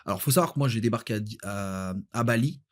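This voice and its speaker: Fast-talking blunt man. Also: on the low side. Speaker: guest, Dubai-based real estate adviser. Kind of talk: casual podcast conversation.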